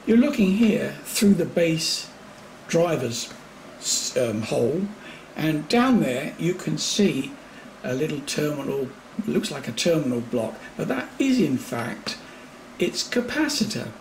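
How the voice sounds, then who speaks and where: A man narrates an informal hands-on demonstration at a slow pace. His voice is creaky and mid-pitched, and his manner is matter-of-fact and a little hesitant. There is faint noise behind him. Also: sing-song, low-quality recording. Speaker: hobbyist repairer presenting own video.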